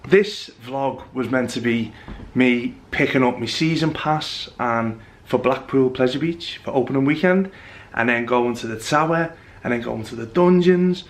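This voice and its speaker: A man's voice: medium pitch, unhurried. A young man talks to his camera in a loose, rambling vlog. He pokes fun at himself as he goes. His voice carries a trace of a recent bout of flu. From England. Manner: chatty, self-mocking, rambling. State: recovering from flu. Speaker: vlogger. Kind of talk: casual vlog chat to camera.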